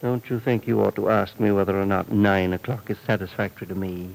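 Gravelly, menacing shady fixer. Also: male voice, on the low side, little pitch movement, rough recording. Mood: sardonic, domineering. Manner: smooth, deliberate threats, sardonic. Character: shady, menacing, domineering male fixer for hire. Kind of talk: vintage radio serial acting. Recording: vintage radio drama recording.

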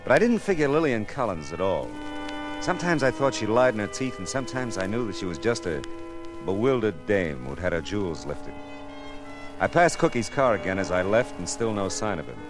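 A hard-boiled private detective in an old radio drama. His very low voice swings expressively, yet he stays dry, wry and laconic. Music plays under the old recording. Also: very animated, normal speed. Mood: wry, reflective. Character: wry, cool male private detective narrating his case.

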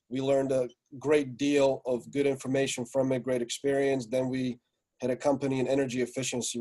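A man in his forties who grew up in Slovakia talks through his story as a podcast guest. His voice is mellow and dark, and his delivery stays flat and even. He rambles easily, with plenty of fillers. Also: mid-range voice. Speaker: guest, music entrepreneur. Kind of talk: casual podcast interview.

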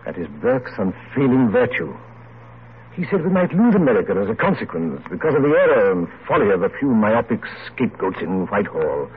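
A man's voice: on the low side, very animated, brisk, husky, exaggerated voice. An actor playing a genial, reflective older English man of letters, courtly and oratorical, in an old radio play. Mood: grave, reflective. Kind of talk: 1940s radio drama acting. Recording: muffled, noisy vintage radio recording.

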